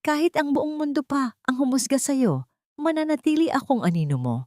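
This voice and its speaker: A woman's voice: sits high, expressive, moderate pace, darkish tone, no echo, clean studio sound, pitched above the performer's own voice. A woman voicing a devoted, resolute young man in love in an audio drama. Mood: tender, resolute. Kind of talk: Tagalog audio drama storytelling.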